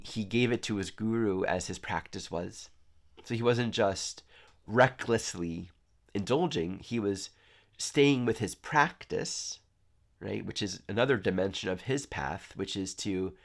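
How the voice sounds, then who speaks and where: Low-voiced guy, stuffy nose, calm earnest spiritual talk. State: congested, nasal. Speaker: astrology teacher. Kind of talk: reflective spiritual talk to camera.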